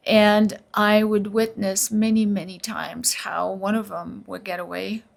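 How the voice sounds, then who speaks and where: A woman's voice: medium pitch, level tone, measured pace, vocal fry. A middle-aged woman from Slovenia, a neurologist guest telling her story earnestly and at length in an interview.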